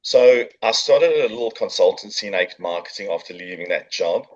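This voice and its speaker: A man's voice: low voice, animated, moderate pace, lo-fi sound. A middle-aged man with a South African accent, chatting as a podcast guest and telling his story with jokes and fillers. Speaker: podcast guest, business owner. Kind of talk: casual interview chat. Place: coworking space.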